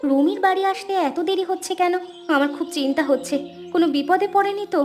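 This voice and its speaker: Woman acting as poor village girl, very high voice, earnest, plaintive, expressive cartoon delivery, music behind. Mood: worried. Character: poor young village sisters, earnest, worried, hardworking. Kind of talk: Bengali cartoon story dubbing.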